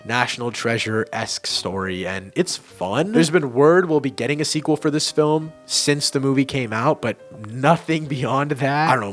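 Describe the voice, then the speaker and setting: A man hosting a casual ranking video, giving blunt opinions in informal, slangy language over background music. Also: medium pitch. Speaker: video host and amateur film reviewer.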